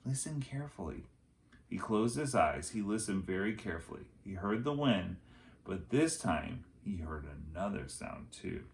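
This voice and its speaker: A man reading a children's chapter book aloud as a teacher would, with expressive, dramatic phrasing. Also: deep voice, unhurried, creaky, slight background noise. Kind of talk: storybook read-aloud narration.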